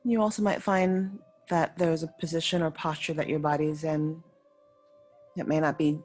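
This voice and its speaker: Low-voiced woman guiding a slow meditation. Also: level tone, darkish tone. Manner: soothing, unhurried, instructing in short phrases with pauses. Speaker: yoga nidra meditation teacher. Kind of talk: guided meditation. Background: faint music.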